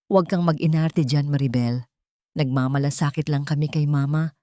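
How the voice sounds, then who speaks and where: A woman's voice: sits low, steady tone, normal speed, warm tone, no room sound, studio quality, lightly acted. A woman voicing a spiteful sister-in-law in an audio drama, scornful under a show of false concern.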